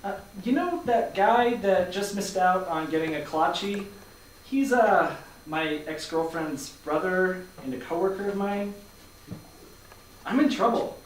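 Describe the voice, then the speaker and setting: A bemused accountant played by a man in a staged comedy. He speaks slowly and haltingly, heard from a distance in a roomy space with faint noise. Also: high voice, lively intonation. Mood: uneasy. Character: bemused, hesitant young male accountant. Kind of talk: staged play reading. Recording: echoey hall from far off, faint noise.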